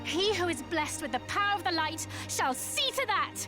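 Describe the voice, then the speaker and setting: A very high, proud young princess in a fantasy game, defiant and theatrical. Her speech is formal and resolute, with clear music playing behind it. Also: lively intonation, normal speed. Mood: defiant resolve.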